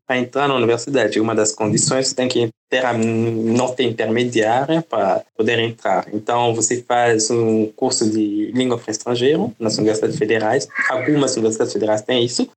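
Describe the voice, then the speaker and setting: A man from the Democratic Republic of the Congo talks through his experiences in an easygoing interview. His first language is French, and his delivery is unhurried and explanatory. He often checks in with his listener with small tags. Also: mid-range voice. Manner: relaxed, explanatory, often adds "né?" and "entende?". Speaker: podcast guest. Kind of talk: conversational interview.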